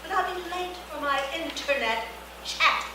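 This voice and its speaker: A woman's voice: sits high, measured pace. A woman acting an officious, prim, scolding retirement community neighbor in a comedy screenplay reading. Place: indoor reading before an audience, far mic. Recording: very echoey room, distant mic, faint noise.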